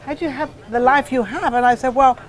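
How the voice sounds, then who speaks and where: A woman's voice: high voice, fairly even intonation, fast. An older woman hosting a talk show, chatting casually in a rambling way and often echoing back what she hears. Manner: rambling, interjecting, repeats answers back.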